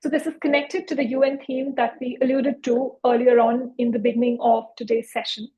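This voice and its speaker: A woman's voice: fairly high pitch, little pitch movement, fairly quick, warm tone, muffled recording. A woman hosting a youth panel as moderator, speaking in an effusive, encouraging way.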